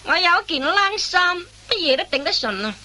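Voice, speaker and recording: A woman's voice: very high voice, sing-song, normal speed, sharp tone, full character voice. A woman playing an eager, deferential young apprentice thief in a comic radio play, answering obediently. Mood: keen, obliging. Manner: obedient, respectful, short replies.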